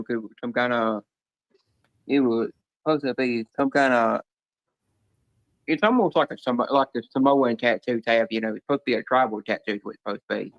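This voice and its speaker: A man chatting casually, telling stories haltingly with many pauses and ums. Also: medium pitch. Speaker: panel guest. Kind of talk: casual chat. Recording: dull lo-fi line.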